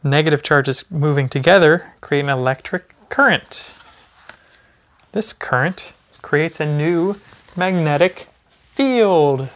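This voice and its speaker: A man in his thirties, a teacher, reading a children's book aloud with gentle, chatty asides to a baby. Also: a little high, slow pace. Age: 30s. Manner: gentle parent talking to a baby. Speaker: physics teacher. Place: small carpeted or wood-floored room at home, indoors. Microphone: phone camera a few feet away.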